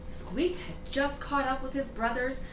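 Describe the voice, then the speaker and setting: A woman reading a children's storybook aloud, narrating expressively with deliberate, dramatic emphasis. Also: high-pitched. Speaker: storybook reader. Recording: roomy, distant mic, clear background noise.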